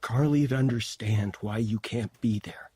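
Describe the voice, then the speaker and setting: An actor playing a gentle middle-aged father who coaxes his daughter tenderly in an audio drama. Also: medium pitch, unhurried, husky, no echo. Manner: coaxing, gentle.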